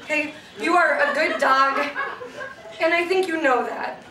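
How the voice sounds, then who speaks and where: A woman's voice: very high-pitched, animated, measured pace, recorded from a distance. A woman acting as a sweet, gushing neighbor who adores a dog, in a live comedy sketch. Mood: earnest, wounded. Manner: cooing, effusive baby talk to a dog. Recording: echoey stage from afar, audience crowd.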